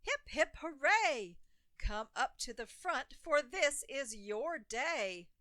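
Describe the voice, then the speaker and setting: A woman's voice: high voice, very animated, takes it slowly, pushed and bright, no echo, studio quality, voice pushed up, exaggerated voice. A woman voicing a snobbish storybook gang of cool townsfolk, making grand, exclamatory declarations. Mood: triumphant cheering.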